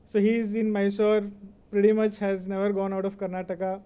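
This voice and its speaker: Middle-aged Indian man, native Kannada speaker, Indian English accent, highish voice, convocation speaker, chatty motivational tone. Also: dark tone, clear, resonant tone. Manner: conversational, often checks in with "right?". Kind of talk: motivational speech.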